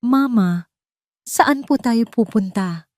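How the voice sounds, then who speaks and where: A woman's voice: a little high, expressive, slow, a little mellow, no room sound, clean studio sound, pitched above the performer's own voice. A woman voicing an anxious young child who asks polite questions.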